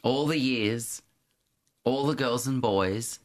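Middle-aged guy reminiscing in an interview, halting, restarts a lot, little laughs here and there. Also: medium pitch. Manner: hesitant, with frequent restarts and soft laughs. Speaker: interview guest. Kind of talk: reflective conversational storytelling.

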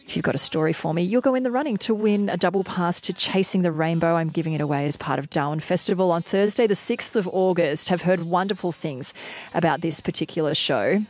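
A woman's voice: fairly low pitch, fairly quick, a little mellow. A woman hosting a morning talkback radio show, chatty and upbeat as she takes listener calls. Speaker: radio host. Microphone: studio microphone.

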